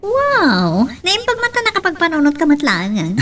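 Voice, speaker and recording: A woman acts as a lively young Ilocano woman who teases her friend in a romance drama. Her voice is high and very animated, picked up slightly away from the microphone. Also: moderate pace, recorded from a distance, exaggerated voice. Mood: teasing. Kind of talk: Ilocano radio-style love drama acting.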